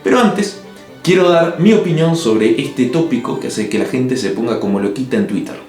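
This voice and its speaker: Un hombre de Uruguay con acento del Río de la Plata, que narra un ensayo en video con opiniones firmes y toques de humor seco. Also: male voice, mid-range voice, expressive, normal speed. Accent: Río de la Plata Spanish. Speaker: video essay host. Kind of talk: scripted narration. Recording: echoey room with soft music.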